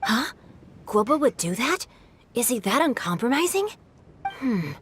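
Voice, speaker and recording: A woman's voice: fairly high pitch, big pitch swings, a little slow, slightly rough, exaggerated voice. A woman voicing a mischievous young woman who runs a funeral parlor in a video game, cheeky and sing-song.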